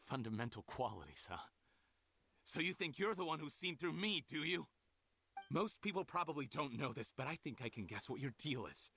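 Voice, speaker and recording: A man's voice: a little high, big pitch swings, normal speed, sharp tone, heavily acted. A man playing a bitter, defiant criminal researcher in a video game, theatrical and scornful. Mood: suspicious, scornful.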